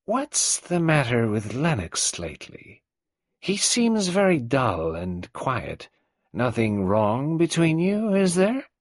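A man's voice: medium pitch, wildly swooping pitch, takes it slowly, no room sound, studio quality, voice pushed up, heavily acted. A man doing a malicious wheezing old matriarch. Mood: venomous. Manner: venomous, taunting, wheezing. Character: malicious old domineering mother, woman.

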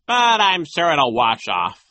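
A sly, gloating villain posing as a gym teacher. He drags his words out slowly and theatrically, pitched well above a plain voice and swooping widely. It is storybook menace, loud and relishing. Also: huge pitch swings, dry recording, heavily acted. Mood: smug, mocking. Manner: slow, drawn-out, theatrical delivery.

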